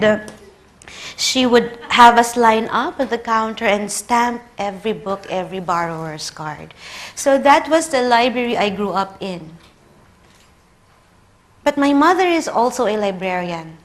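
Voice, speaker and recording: A woman in her thirties with a Filipino accent, a native Tagalog speaker, giving a conference lecture and telling personal stories with light humor. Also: a little high. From Philippines. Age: 30s.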